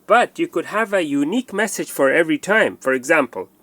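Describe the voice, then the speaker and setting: A man's voice: on the high side, expressive, fairly quick, a bit bright, no room sound. A man presenting a hands-on installation tutorial, explaining step by step and often repeating himself with fillers.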